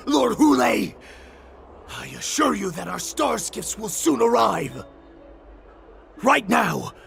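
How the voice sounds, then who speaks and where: A man voicing a fervent, servile wolf underling in a video game, gravelly, reverent and melodramatic. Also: extremely high pitch, fairly bright tone, full character voice. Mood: zealous, urgent. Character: fervent, servile male wolf underling, younger pack zealot. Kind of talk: dramatic video game voice acting.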